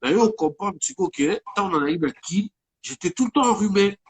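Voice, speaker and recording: A man's voice: high-pitched, expressive, quick pace, a little hiss or hum. A man speaking French with a Congolese accent, talking casually as an interview guest while he has a head cold, rambling and mixing in Lingala words. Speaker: guest musician.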